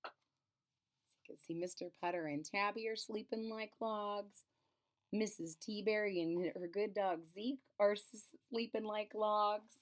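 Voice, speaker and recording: A woman's voice: medium pitch, a little slow. A woman reading a children's storybook aloud expressively, drawing out phrases and adding explanatory asides about the pictures. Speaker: storybook reader and channel host.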